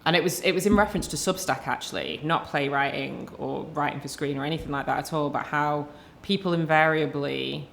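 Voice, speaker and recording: A woman with a notably low voice hosts a relaxed podcast conversation. She talks in a loose, chatty way, laughing easily and tossing in wry, self-mocking asides. A faint background hiss sits under her voice. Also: a little fast, creaky, few pauses. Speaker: podcast host. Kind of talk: casual conversational interview.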